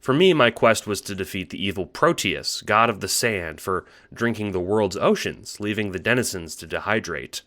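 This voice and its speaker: A man playing a timid young male alien troll in an audio drama, halting and stammering, eager to please and unsure of himself. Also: lowish voice, expressive, fairly bright tone. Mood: nervous, apologetic. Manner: halting, stammering, self-doubting. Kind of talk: audio drama monologue and dialogue.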